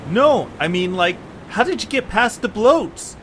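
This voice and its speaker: Through a noisy home recording comes an excitable young adventurer, acted by a man in an audio drama. The voice sits fairly high for a male and swings widely, earnest and full of exclamation. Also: a little slow. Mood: puzzled. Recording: clear background hiss and noise.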